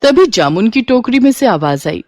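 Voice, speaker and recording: Woman, story narrator, scripted delivery, lively pitch movement, dry recording. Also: normal speed, no echo.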